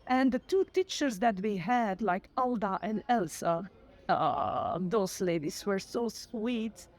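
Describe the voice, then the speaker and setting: A woman's voice: medium pitch, lively intonation, slow, a little mellow. Flemish woman in her sixties, a retired teacher and Dutch native; earnest, sincere, self-correcting as she goes. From Flanders, Belgium. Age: 60s. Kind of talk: personal testimony to camera.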